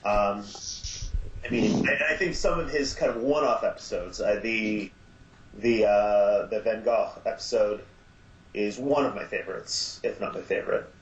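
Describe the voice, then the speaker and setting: A man's voice: fairly low pitch, a little slow. A man chatting as a podcast guest, weighing his words thoughtfully as he talks. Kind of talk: casual chat. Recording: roomy, dull sound with faint hiss.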